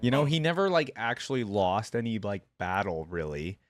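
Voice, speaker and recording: Low-voiced man casually reacting. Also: big pitch swings, normal speed, no echo, soft background music. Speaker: reaction video host.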